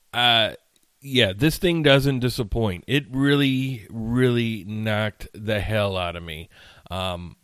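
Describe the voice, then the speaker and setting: A man's voice: fairly low pitch, slow, a bit bright, clear, resonant tone, no room sound, studio quality. A man hosting his own podcast in a casual, rambling chat full of fillers, sounding tired and a bit nasal while he recovers from illness. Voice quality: slightly nasal. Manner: chatty, rambling, with frequent fillers and restarts. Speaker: podcast host. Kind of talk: casual solo podcast rant. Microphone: studio mic.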